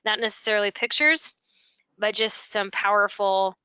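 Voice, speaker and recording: A woman's voice: mid-range voice, normal speed, sharp tone, smooth, no room sound, lo-fi sound. An American woman explaining things conversationally, walking through examples like a guest presenting in a webinar. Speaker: school technology integration specialist, webinar guest. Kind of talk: conversational software walkthrough.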